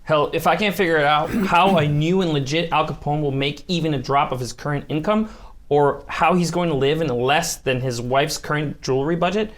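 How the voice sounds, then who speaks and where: Weary gangland associate played by a man, slightly high voice, worried and wry, TV script table reading. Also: a little fast, close to an everyday voice. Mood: exasperated.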